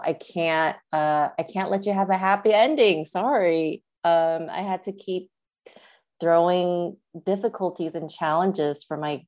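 A woman's voice: deep voice, a little mellow. A woman author from Taiwan, whose first language is Mandarin Chinese, answering interview questions thoughtfully, with fillers and restarts. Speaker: author guest.

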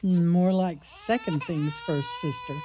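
A woman's voice: on the low side, takes it slowly. A woman playing a fussing, pious nun in a radio play. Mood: fussing, wry. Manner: lilting, breathless, pious exclamations. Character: spry, fussing Irish-style nun, adult woman. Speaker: actor in a community radio play.

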